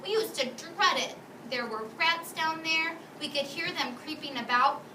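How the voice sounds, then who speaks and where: Woman acting a small orphan girl, storybook, high voice, anxious, inquisitive, emphatic, distant mic. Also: unhurried. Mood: dread. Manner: emphatic, questioning. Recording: echoey room, distant mic, noisy background.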